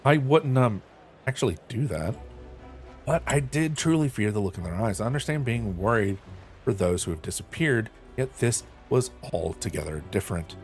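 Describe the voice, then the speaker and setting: A man's voice: medium pitch, animated, normal speed, faint music behind. A young man streaming a game, reading the dialogue aloud and chatting in a loose, self-mocking way.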